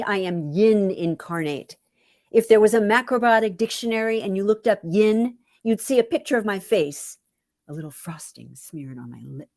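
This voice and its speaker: A woman reading her own first-person story aloud with wry, deliberate, dramatic phrasing. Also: fairly low pitch, no echo, studio quality.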